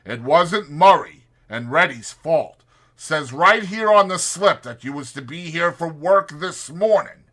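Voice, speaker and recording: A man's voice: a little high, lively intonation, measured pace, pushed and bright, pitched above the performer's own voice, exaggerated voice. A man voicing a stern, stocky ranch boss with a brusque, businesslike manner for a dramatized audiobook. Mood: annoyed, brusque.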